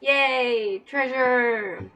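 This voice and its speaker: A woman livestreamer giving casual gaming commentary with offhand, drawn-out reactions. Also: a little high. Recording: loud game music behind.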